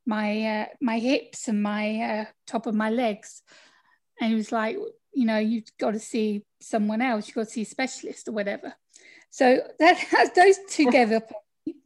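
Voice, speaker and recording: A middle-aged woman from the UK with a British accent, telling her story as a guest in a casual interview over a video call, chatty and laughing often. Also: on the high side. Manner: chatty, laughs often, acts out quoted people. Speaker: podcast guest.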